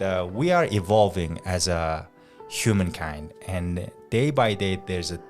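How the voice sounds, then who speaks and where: A young man hosting a casual podcast interview, chatty and friendly with loose English phrasing. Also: deep voice. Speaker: podcast host.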